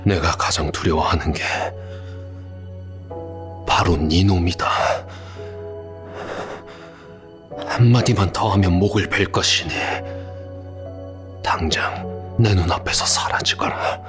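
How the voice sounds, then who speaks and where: An actor playing an aging king and father, commanding and quick to anger, in an audio drama. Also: low voice, over music. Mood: menacing. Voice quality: commanding, clipped royal speech.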